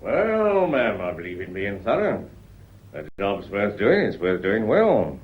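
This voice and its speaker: Plodding British inspector, low-pitched, wildly varied intonation, polite and persistent, radio drama, muffled sound. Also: male voice, huge pitch swings, measured pace, slightly rough, exaggerated voice. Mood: genial, probing. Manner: deferential, folksy, methodical, quietly probing. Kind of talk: radio play acting.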